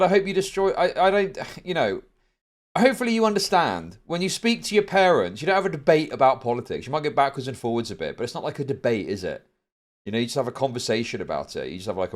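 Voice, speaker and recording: A middle-aged man with a British accent hosting a livestream, chatting casually and telling stories in a rambling, sweary, animated way. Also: on the low side. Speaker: livestream host. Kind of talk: casual chat to a livestream audience.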